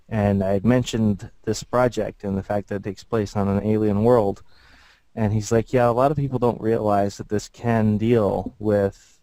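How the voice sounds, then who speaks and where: A man's voice: lowish voice, mellow, clean tone, dry recording, rough recording. A man on a livestream team, chatting casually and explaining an idea with easygoing fillers. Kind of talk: casual group chat.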